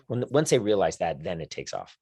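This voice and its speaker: A man, a career coach for lawyers, speaking in an enthusiastic, conversational podcast interview with quick lists and restarts. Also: low-pitched, big pitch swings, mellow, husky, no echo, studio quality. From United States.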